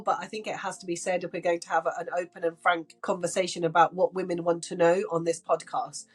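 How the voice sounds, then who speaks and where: A woman doctor whose first language is Punjabi, speaking as a podcast guest in a passionate, emphatic way and repeating words for stress. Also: on the low side. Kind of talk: podcast interview.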